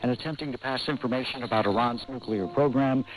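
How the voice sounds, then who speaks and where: A man's voice: medium pitch, fast talker, poor audio. A man anchoring a radio news programme, reading scripted news, heard over a shortwave broadcast.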